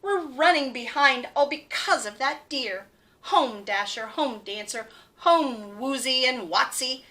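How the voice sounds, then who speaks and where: A woman doing a booming, gruff Santa. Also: animated, slow pace, bright, forceful tone, full character voice. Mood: frantic. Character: stressed booming old Santa.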